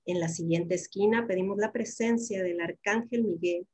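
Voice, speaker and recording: A woman with a medium-pitched voice leads a spiritual invocation for a guided meditation. She is solemn and prayerful, setting out each phrase in turn. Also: normal speed, darkish tone.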